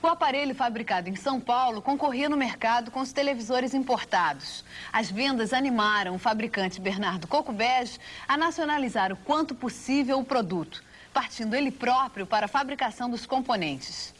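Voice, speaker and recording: A Brazilian woman narrates a documentary from a prepared script. Her voice sits somewhat high for a woman. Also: expressive, brisk, hardly any pauses, fairly bright tone.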